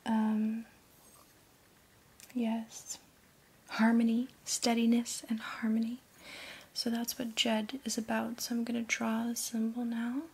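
A woman in her thirties leads an ASMR reiki session in an extremely breathy, mostly whispered voice. She talks slowly and gently to the listener, with halting phrases. Her pitch stays very flat throughout. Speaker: ASMR reiki practitioner and host. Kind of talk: ASMR reiki roleplay, softly spoken to the viewer. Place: indoor room.

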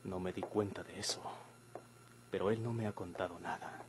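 A man's voice: low-pitched, steady tone, normal speed, natural voice. An actor playing an earnest, wary young Mexican man, formal and stern, in an old radio drama. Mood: worried. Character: earnest, wary younger man, respectfully scolding his outlaw elder. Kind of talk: golden-age Mexican radio drama acting. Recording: noisy vintage radio recording.

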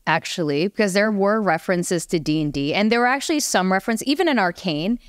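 A woman's voice: medium pitch, animated, quick pace, smooth, no room sound. A woman talking informally and emphatically as a panellist in a casual podcast discussion.

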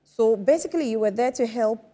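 Mellow-voiced woman hosting. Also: fairly high pitch, a little fast. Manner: probing, conversational. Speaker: TV talk show host. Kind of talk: studio interview questions. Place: bright TV studio. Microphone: lapel mic.

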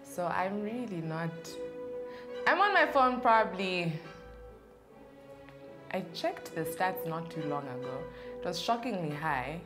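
A woman's voice: on the low side, big pitch swings, measured pace, long pauses, over music. A young woman, answering interview questions in a chatty, playful way in an indoor studio. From Zambia. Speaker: interview guest. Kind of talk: casual Q&A interview.

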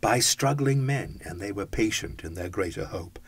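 A man reads a classic ghost story aloud in expressive, rhythmic phrases. His voice is low and slightly husky. The recording is close and dry, with no room sound. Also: normal speed, no echo. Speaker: audiobook reader.

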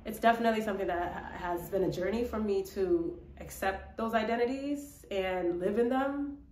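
A woman's voice: mid-range voice, moderate pace, darkish tone. A young woman talking reflectively and casually to camera in a personal vlog. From born in Ethiopia to South Sudanese parents, grew up in Minnesota, United States. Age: young adult. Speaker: vlogger, model and actress. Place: small indoor room by a window. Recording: echoey room.

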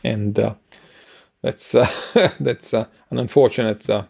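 A middle-aged man co-hosting a casual news discussion over a video call, speaking haltingly with frequent pauses. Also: mid-range voice, steady tone, vocal fry, darkish tone, husky. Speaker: podcast co-host. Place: home room, indoors.

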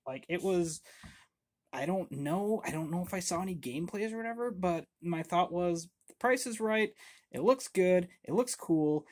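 A man's voice: high voice, lively intonation, moderate pace. A man in his thirties hosting a casual board game unboxing at home on a webcam, rambling and self-deprecating with lots of fillers and restarts. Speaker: board game channel host. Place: home room with game shelves.

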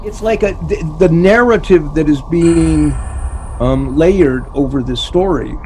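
A man giving his opinion in conversation, halting as he searches for words. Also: on the high side, darkish tone. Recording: clearly noisy background.

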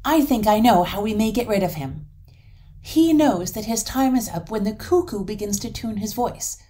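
Woman as a breathy, cunning old troll wife. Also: mid-range voice, lively intonation, normal speed, mellow, pitched above the performer's own voice, close to an everyday voice. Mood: scheming.

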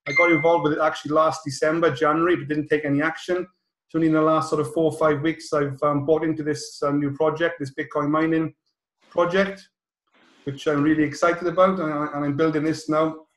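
A middle-aged British man hosting an online call, introducing things informally in a rambling, halting way. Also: fairly high pitch. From South Wales, UK. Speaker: host of an online presentation call. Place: small indoor office room, on a video call. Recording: roomy, echoey video call.

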